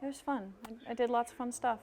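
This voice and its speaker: A woman's voice: fairly high pitch, quick pace, a little mellow. A woman co-hosting a sports podcast in casual, bantering chat.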